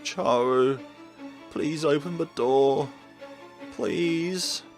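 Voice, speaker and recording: Man acting out a frantic, high-pitched pleading student. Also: drawn-out words, soft background music. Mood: urgent pleading. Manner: urgent, emphatic, stammering under shock. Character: frightened, pleading high-school student, desperate for a friend. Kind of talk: dramatic visual novel voice acting.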